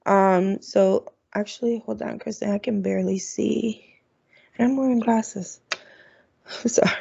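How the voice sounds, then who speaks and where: A woman's voice: mid-range voice, fairly even intonation, unhurried, creaky, warm tone, slightly rough. A woman who works as an international student advisor, presenting at an online orientation in a chatty way with lots of ums. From Florida, United States. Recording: dull, lo-fi video call audio.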